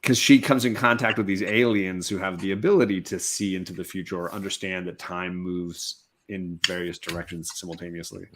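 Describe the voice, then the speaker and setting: A man's voice: low voice, fairly even intonation, brisk, few pauses, slight background noise. A middle-aged man in casual chat, explaining things like a film buff.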